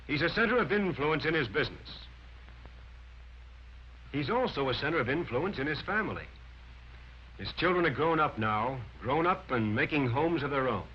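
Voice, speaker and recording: A man's voice: a little high, lively intonation, normal speed, pauses often, slight background noise. A man delivers scripted 1940s instructional film narration in an emphatic, declarative, instructive manner. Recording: dull band-limited 1940s film soundtrack, music.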